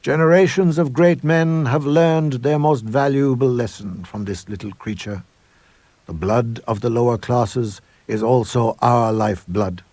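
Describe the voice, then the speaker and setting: A man's voice: mid-range voice, unhurried, a little mellow, dry recording, voice pushed up, close to an everyday voice. A man voicing a proud, sinister older school headmaster in a supernatural tale, pompous and theatrical in his delivery. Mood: grandiose, declamatory. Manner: theatrical, declamatory, grandiose.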